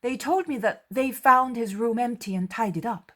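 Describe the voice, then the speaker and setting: A man's voice: very high voice, moderate pace, bright, forceful tone, voice pushed up. A woman voicing Andrew, an earnest adult male disciple who asks questions in a raised, inquiring voice.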